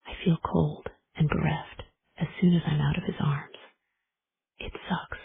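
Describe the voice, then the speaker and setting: A woman narrating a first-person romance audiobook in a hushed, intimate whisper. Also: low voice, little pitch movement, moderate pace, gravelly, husky, no room sound. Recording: tinny phone-like recording.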